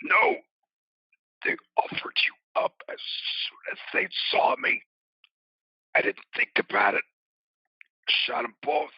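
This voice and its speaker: Man acting out a gravelly, halting, guilt-ridden biker. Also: extremely high pitch, big pitch swings, no echo, highs cut off, exaggerated voice. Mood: guilty, weary. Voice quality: gravelly, husky. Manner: halting, strained, drawn-out delivery. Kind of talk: audio play acting.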